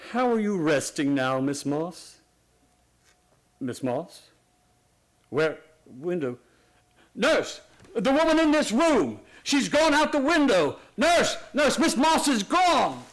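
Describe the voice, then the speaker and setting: A man acting a probing hospital psychiatrist in a radio play. His voice is fairly high and very animated, with a dismissive, insistent, theatrical clinical manner. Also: slow pace, full character voice. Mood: alarmed.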